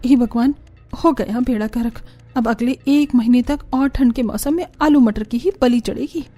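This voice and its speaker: A woman's voice: a little high, moderate pace, mellow, lightly acted. A woman playing a harried, theatrical young daughter-in-law in a cartoon family drama. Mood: exasperated, sarcastic. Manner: complaining, theatrical, sarcastic. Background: background music.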